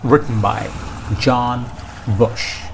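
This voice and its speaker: Very slow-paced man reading a storybook. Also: mid-range voice. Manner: measured, pausing between short phrases. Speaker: storybook reader. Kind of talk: slow scripted children's read-aloud narration. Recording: clear background noise, ambience. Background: ocean ambience sound effects.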